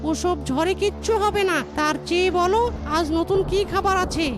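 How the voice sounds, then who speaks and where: Very high-pitched, whiny cartoon crow husband. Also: fairly even intonation, moderate pace. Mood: boastful, carefree. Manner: melodramatic, pleading, wailing. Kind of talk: children's cartoon voice acting. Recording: music behind the voice.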